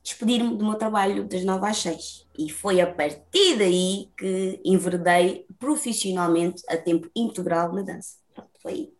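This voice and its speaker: A young woman from Lisbon with a Lisbon Portuguese accent, a lively, talkative dancer telling her story as an interview guest, laughing often. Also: medium pitch, unhurried.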